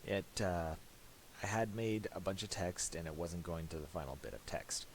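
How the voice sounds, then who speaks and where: A man narrating a casual, unscripted software tutorial, halting and thinking aloud as he goes. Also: deep voice. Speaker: tutorial presenter. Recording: faint hiss and mouse clicks.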